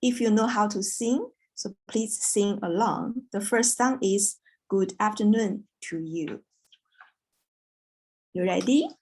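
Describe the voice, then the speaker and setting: A woman from China who is not an English speaker, teaching a children's English class online in a slow, patient, clear way. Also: medium pitch, a little mellow. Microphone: video call.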